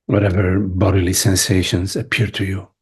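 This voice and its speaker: A man leading a guided meditation as a teacher, speaking gently in short phrases with long pauses. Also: deep voice, little pitch movement, slightly rough. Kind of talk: guided meditation, spiritual talk.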